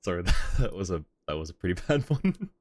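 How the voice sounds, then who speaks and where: Deep-voiced amused male streamer. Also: sing-song, a little fast, a little mellow, no room sound. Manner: amused, informal. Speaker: live streamer. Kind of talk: casual live game reaction. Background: game music.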